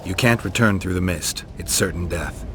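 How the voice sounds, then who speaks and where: A man plays a stoic fantasy game monster hunter. His voice is low and gruff, his delivery terse and deadpan, with clear ambient noise around it. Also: normal speed, natural voice. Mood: matter-of-fact. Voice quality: deep, gruff. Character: stoic, world-weary male monster hunter. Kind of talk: video game character acting.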